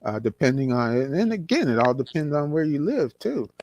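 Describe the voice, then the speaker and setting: A man's voice: fairly high pitch, sing-song, brisk, a little mellow, no room sound, highs cut off. Rambling older man, dry sound. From California. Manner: rambling, reminiscing with many restarts. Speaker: guest. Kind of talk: casual conversation.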